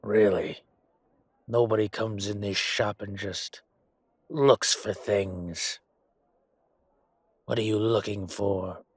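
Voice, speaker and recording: A gruff merchant, acted by a man in a fantasy audio drama. He talks slowly in a low voice, guarded and suspicious of whoever he is questioning. The sound is dry and close. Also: fairly even intonation, no echo. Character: gruff, suspicious male merchant guarding his shady trade. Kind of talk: amateur audio roleplay acting.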